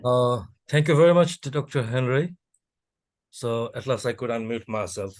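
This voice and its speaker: A man's voice: mid-range voice, moderate pace, no echo. A man from Bangladesh, making points on a workshop video call and often hesitating and restarting. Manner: hesitant, many fillers and restarts. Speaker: workshop participant.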